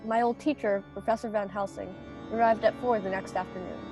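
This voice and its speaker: A woman's voice: mid-range voice, fairly even intonation, a little fast, over music, natural voice. A young woman playing an earnest young male doctor formally addressing an audience in a student radio play.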